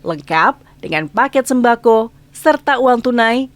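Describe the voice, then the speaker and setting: A woman's voice: fairly high pitch, animated, measured pace, dry recording. A woman with an Indonesian accent presenting scripted news as an anchor.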